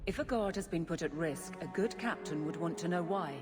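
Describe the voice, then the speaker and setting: Actress as principled, blunt guardswoman in a fantasy game. Lower register, resolute, reverberant sound. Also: lively intonation, fairly quick, over music, natural voice. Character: stoic, principled, no-nonsense city guardswoman.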